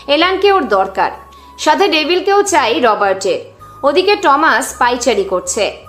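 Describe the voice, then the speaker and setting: A woman reads a scripted drama as its narrator. Her voice sits a little high and carries a noticeable echo. Music plays faintly beneath the storytelling. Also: sing-song, unhurried, a bit bright. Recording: roomy echo with music underneath.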